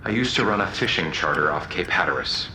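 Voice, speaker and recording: A deep-voiced, cunning, theatrical convict. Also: male voice, slight background noise, heavily acted, low-quality recording. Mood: taunting, sly. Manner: theatrical, deliberate, mocking, swinging between calm and outburst. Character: cunning, taunting telepathic male convict pleading his appeal. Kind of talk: audio drama acting.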